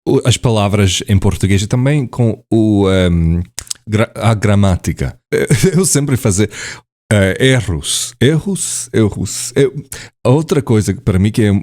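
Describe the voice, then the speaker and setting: A man's voice: fairly low pitch, expressive, unhurried, a little mellow. A man vlogging in a language he is still learning, hesitant and full of fillers and self-corrections, often laughing at himself. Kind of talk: casual to-camera talk in a learned language.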